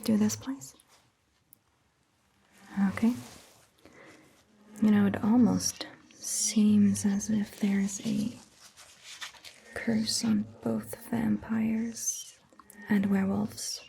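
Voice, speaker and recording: A woman playing a calm, caring vampire doctor in a breathy, gentle ASMR whisper, telling an old tale during an exam. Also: medium pitch, slight background noise. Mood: calm, soothing. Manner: slow, soothing, hushed, gentle. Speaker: ASMR performer. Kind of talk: whispered ASMR roleplay storytelling.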